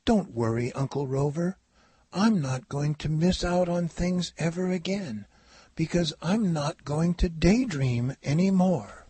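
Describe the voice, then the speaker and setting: Casting: dreamy storybook little girl, played by a man, breathy and husky, unhurried, music underneath. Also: on the high side, dark tone, no echo, voice pushed up. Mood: excited, determined. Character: dreamy little girl, sad then eager.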